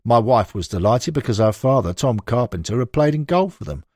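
A man's voice: medium pitch, animated, a little fast, darkish tone, no room sound, studio quality, lightly acted. A man reading the interview quotes of a frank football manager, with blunt, emphatic, conversational storytelling in an audiobook. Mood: animated recollection. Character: frank, animated male football manager reminiscing. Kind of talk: acted interview quotations in an audiobook.

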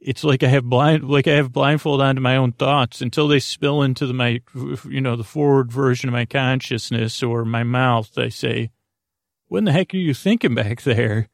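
Creaky voiced guy rambling a bedtime podcast, keeps tripping over his own sentences, super dry close sound. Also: medium pitch, dry recording. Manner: meandering, halting, self-interrupting. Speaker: podcast host.

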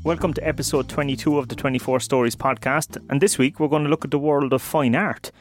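A man's voice: mid-range voice, steady tone, fast talker, music in the background. Man hosting a podcast. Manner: friendly, inquisitive, short backchannels. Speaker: podcast host. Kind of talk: podcast interview.

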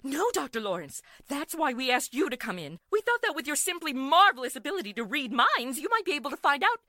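A woman's voice: high-pitched, big pitch swings, a little fast, sharp tone, dry recording, studio quality, heavily acted. An actress playing a melodramatic, gushing society mother with an overwrought manner in a 1940s-style radio drama.

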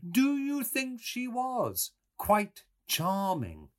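High, sweet, simpering dandy. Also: male voice, huge pitch swings, heavily acted. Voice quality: sweet, affected. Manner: fussy, drawn-out. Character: finical, sweet, simpering dandy put on in mockery.

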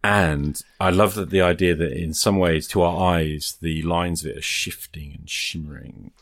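Deep voiced guy playing a tabletop game, piecing ideas together as he talks, dry room. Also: fairly even intonation, moderate pace, a bit bright, no echo. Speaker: player in an improvised tabletop game. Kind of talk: casual improvised storytelling.

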